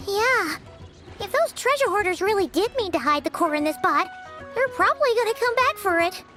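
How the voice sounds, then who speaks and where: A tiny fairy companion from a video game, extremely high and piercingly bright. The childlike, squeaky voice is wide-eyed and curious, swinging widely in pitch. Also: female voice, animated, moderate pace, soft background music, full character voice. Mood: amazed, concerned. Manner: wide-eyed, exclamatory, inquisitive.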